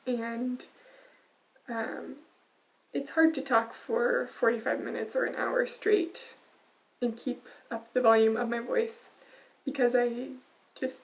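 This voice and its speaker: Soft-spoken woman podcaster, slightly distant. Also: a little high, little pitch movement, slow, vocal fry, long pauses. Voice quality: quiet voice. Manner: soft-spoken, many ums and uhs. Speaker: podcast host. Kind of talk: casual knitting podcast chat.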